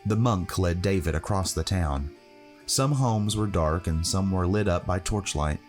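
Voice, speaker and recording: A man's voice: deep voice, measured pace, soft background music. A man narrating a fantasy adventure story from a script, as storyteller.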